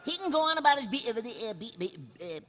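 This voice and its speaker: A man's voice: very high-pitched, very animated, fast talker. A man voicing a flustered cartoon pig with a heavy stutter in a live comedic reading. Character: stammering, flustered cartoon pig as old mentor. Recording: live stage microphone, faint noise.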